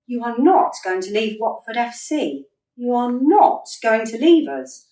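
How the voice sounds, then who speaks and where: A woman actor plays a blunt female football manager in a radio play. Her pitch is fairly high and swings widely as she scolds with exasperation. She sounds a little away from the microphone, in a roomy space. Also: sing-song, mellow, far from the mic. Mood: firm, persuasive. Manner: emphatic, scolding, deliberate. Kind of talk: radio drama acting.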